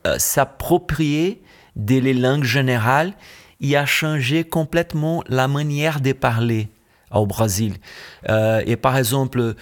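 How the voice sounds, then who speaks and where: Middle-aged Brazilian male guest, Portuguese-accented French, hesitant and explanatory. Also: mid-range voice. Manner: searching for words, many hesitations and restarts. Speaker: musician guest. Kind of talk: radio interview.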